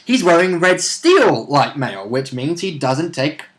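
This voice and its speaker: A man's voice: a little high, sing-song, a little slow. A man chatting as a tabletop player, informal and rambling, with the odd casual swear word. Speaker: player in a tabletop role-playing game. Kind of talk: casual chat about game rules and play.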